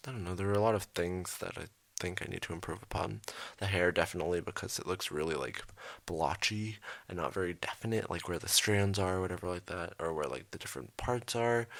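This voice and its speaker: A young man hosting his art channel, rambling through a late-night voiceover with lots of ums, speaking quietly and a little raspy. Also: deep voice, no room sound. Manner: rambling, many ums, trailing off. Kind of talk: casual voiceover over a speed drawing. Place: his bedroom at home, at night.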